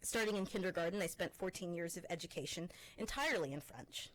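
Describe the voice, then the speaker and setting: A Canadian-born woman presenting as a faculty member, reading her personal essay aloud in a measured, expressive way with pointed pauses. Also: on the low side, poor audio.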